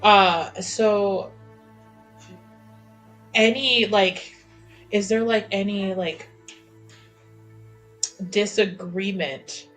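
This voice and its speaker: A woman's voice: on the low side, a bit bright, low-quality recording. A woman playing a tabletop roleplaying game, thinking aloud informally as she describes what her character does. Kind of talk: casual improvised roleplay chat. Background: background music.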